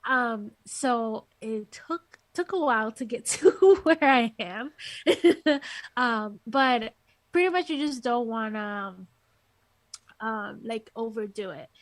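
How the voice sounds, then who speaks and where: A woman, interview guest and music teacher, with a soft, light, sweet speaking voice, chatting casually with lots of fillers over a call. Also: fairly high pitch, expressive, takes it slowly, no echo. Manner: rambling, filler-heavy, giggly. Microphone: headphones on a call.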